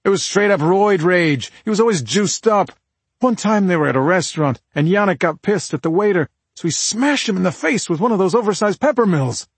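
A man narrator plays a hot-tempered husband in a crime audiobook. He talks in blunt, crude slang, pitched up and pushed louder, in a dry recording. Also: animated, fairly quick, slightly rough, no echo, full character voice. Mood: scornful.

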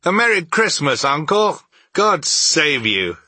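Man acting a bright, cheerful young nephew. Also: lowish voice, big pitch swings, a little slow, slightly rough, no room sound, voice pushed up, full character voice. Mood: cheerful, teasing. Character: cheerful, warm-hearted young nephew, earnest and good-natured. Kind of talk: dramatized storybook reading.

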